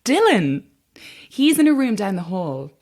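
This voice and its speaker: A woman playing a brisk, polite castle employee, confiding yet evasive, in a naturalistic audio horror drama. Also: medium pitch, sing-song, darkish tone. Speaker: actor.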